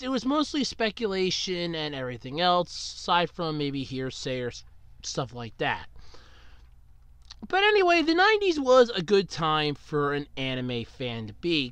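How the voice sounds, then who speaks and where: Chatty man with a lively, bright voice. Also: normal speed, clean tone, no room sound. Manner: rambling, chatty, swings up and down in pitch. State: recovering from a cold. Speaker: podcast host. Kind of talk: solo casual podcast monologue.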